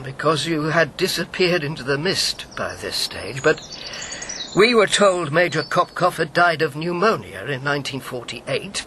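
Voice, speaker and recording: An actor playing an urbane, needling elderly former secret agent in a radio drama, articulate and teasing. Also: male voice, a little high, bright, forceful tone, slight background noise. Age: 70s or older.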